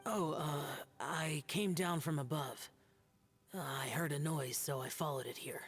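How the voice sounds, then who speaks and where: Aloof, stern young demon slayer. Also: male voice, fairly high pitch, measured pace, soft background music. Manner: curt, reserved, formal. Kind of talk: anime video game voice acting.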